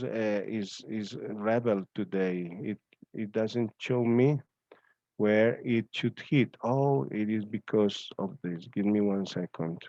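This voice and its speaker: Male event host, unhurried and repetitive, informal, lo-fi close-sounding audio. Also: mid-range voice, dark tone, no echo. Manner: halting, repeats himself. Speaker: host of a student reading-aloud event. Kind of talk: informal online event hosting. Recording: dull, lo-fi online call audio.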